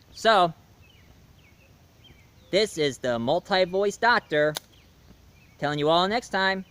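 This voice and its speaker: A man's voice: a little high, a bit bright. A man talking casually to camera, announcing his own audio drama in a rambling, enthusiastic way with frequent restarts. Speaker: YouTube reviewer.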